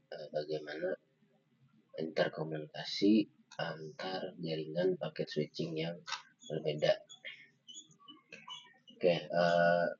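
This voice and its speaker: A young man giving a halting student slide presentation, pausing often on fillers. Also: extremely low pitch, a little mellow. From Indonesia. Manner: halting, with frequent filler pauses. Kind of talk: slide presentation of an article review. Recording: dull lo-fi microphone sound.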